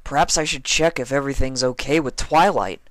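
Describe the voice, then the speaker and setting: A man's voice: medium pitch, fairly quick, no echo, pitched above the performer's own voice, close to an everyday voice. A man voicing an earnest young baby dragon boy in a fanfiction story, worried and plain-spoken. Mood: pondering.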